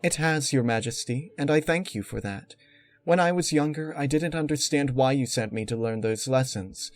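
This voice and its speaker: A man voicing a dutiful young unicorn mare, polite, composed and calculating, in a dark story reading. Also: mid-range voice, moderate pace, no echo, studio quality, voice pushed up, natural voice. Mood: proud, deferential.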